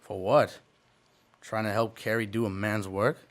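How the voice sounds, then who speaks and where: A man's voice: on the low side, fairly even intonation, unhurried, lightly acted. A man playing a stern, watchful frontier marshal, terse and authoritative, in an old-time radio western. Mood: steady, dry.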